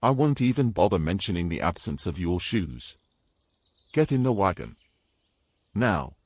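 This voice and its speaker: Male actor as haughty, controlling fantasy knight: lowish voice, very animated delivery. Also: a little slow, slightly rough, no room sound, full character voice. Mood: scolding. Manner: pompous, formal old-fashioned phrasing. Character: domineering, pompous male knight, scornful and controlling. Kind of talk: fantasy audio drama acting.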